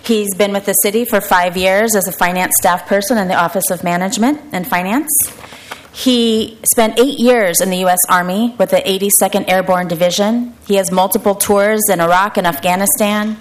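A woman's voice: mid-range voice, fairly even intonation, normal speed, slight background noise, poor audio. A woman hosting an event, introducing a speaker from notes. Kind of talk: speaker introduction, partly read.

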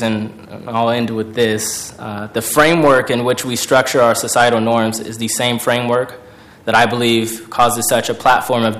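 An American man in his twenties delivering a prepared personal speech to an audience in a deliberate, declarative way. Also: medium pitch, fairly bright tone. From Portland, United States. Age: 20s. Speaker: speaker, veteran and student.

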